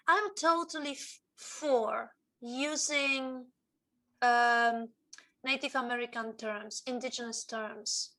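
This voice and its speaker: A woman talks slowly in a high, bright voice. She works through her ideas hesitantly on a video call, leaning on fillers and restarting her sentences. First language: Polish. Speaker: symposium participant. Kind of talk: academic discussion.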